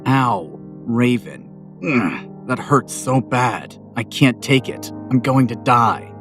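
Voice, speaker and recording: An actor playing a stern, restrained fallen angel assassin with a gentle streak, in a measured deadpan, in an audio drama. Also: male voice, mid-range voice, over music. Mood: mock agony.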